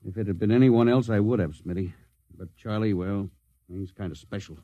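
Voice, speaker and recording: An actor playing a stern middle-aged spaceship captain with a soft spot for old crew, clipped and commanding in 1950s radio drama style. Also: male voice, fairly low pitch, a little fast, dry recording, lo-fi sound, close to an everyday voice. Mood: regretful, fond.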